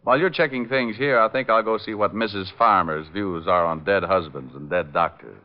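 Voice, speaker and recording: An actor playing a cool, wry private detective in an old radio drama, with a casual deadpan delivery. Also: male voice, sits low, brisk, close to an everyday voice. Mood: businesslike. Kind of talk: 1940s-50s radio detective acting. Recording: dull old-time radio recording.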